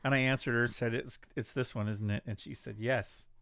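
A man's voice: mid-range voice, steady tone, fairly quick, gravelly, fairly bright tone. A middle-aged man co-hosting a casual podcast chat and joking around. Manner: joking, quotes movie lines in silly voices. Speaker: podcast co-host.

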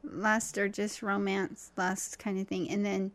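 Woman, wife and co-host, gravelly fry, teasing, laughs often. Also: medium pitch, flat delivery. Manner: teasing, laughs often, quick short answers. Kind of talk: casual faith chat.

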